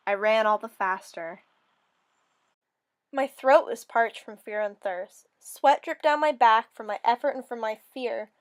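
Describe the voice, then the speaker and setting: A woman with a fairly high voice reads a storybook tale aloud. Her delivery is theatrical and expressive, in the manner of a dramatic storyteller narrating in the first person. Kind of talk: dramatic reading of a fairy story.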